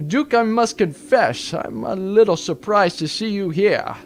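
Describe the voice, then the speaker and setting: A man playing a courteous, self-important gentleman vice admiral with a formal manner in a comic space drama. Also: high-pitched, expressive, a little slow, a little hiss or hum. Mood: politely puzzled. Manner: formal, genteel. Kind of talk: comic sci-fi audio drama acting. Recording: music behind the voice.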